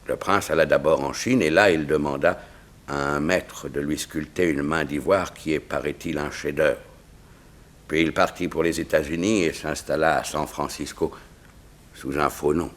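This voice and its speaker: Grave foreign dignitary, very low voice, measured formal phrasing, vintage French radio drama. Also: male voice. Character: grave, dignified Siamese diplomat confiding state secrets. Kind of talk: 1960s French radio mystery serial acting.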